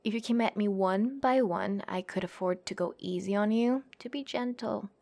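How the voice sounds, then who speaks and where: A cocky young female cage fighter, acted by a woman in an ASMR roleplay. Her voice sits at an average pitch for a woman. She taunts with scoffing contempt and swaggering menace, protective underneath, and draws out her threats for effect. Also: vocal fry, darkish tone, natural voice. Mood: threatening, confident. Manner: taunting, swaggering, foul-mouthed, theatrical pauses and scoffing.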